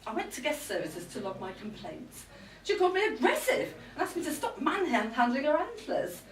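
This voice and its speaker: Comic stage monologue: actress as indignant, griping middle-aged wife, highish and very animated, heard in a roomy venue. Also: female voice, normal speed. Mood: aggrieved, griping. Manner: peevish, complaining, indignant. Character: irritable, aggrieved middle-aged wife ranting alone at health spa. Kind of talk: live comic monologue reading. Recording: roomy live event, distant mic.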